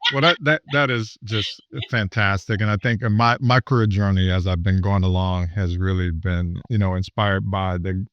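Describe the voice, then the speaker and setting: Low-voiced man hosting, slightly muffled. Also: steady tone, no echo. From Miami, USA. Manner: halting, with many fillers and restarts. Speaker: podcast host. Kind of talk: casual interview conversation.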